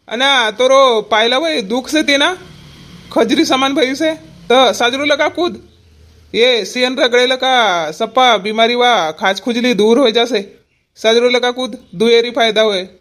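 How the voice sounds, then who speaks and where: A man's voice: very high-pitched, measured pace, fairly bright tone. A man voicing a modest, worrying village farmer and father in a cartoon comedy, rambling and folksy, with a Powari accent. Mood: teasing, folksy.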